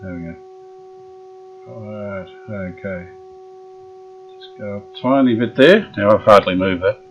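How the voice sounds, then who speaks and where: A man restoring an old radio, explaining each step in an unhurried way with many pauses and fillers. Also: fairly low pitch, dull audio, slight background noise. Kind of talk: casual workshop demonstration.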